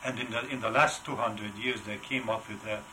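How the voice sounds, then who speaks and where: An older man with a heavy Hungarian accent speaks in a bright, forward voice, pitched a little low. He talks in a halting way, pausing often on filler sounds. A faint hum sits behind him. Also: fairly even intonation, rough recording. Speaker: wood carver, interview guest. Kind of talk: casual interview.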